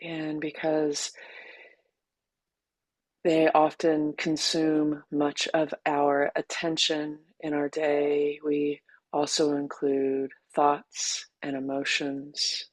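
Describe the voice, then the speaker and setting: A woman teacher leading a guided meditation in a gentle, unhurried way, leaving long pauses between short phrases. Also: low-pitched, monotone, dry recording.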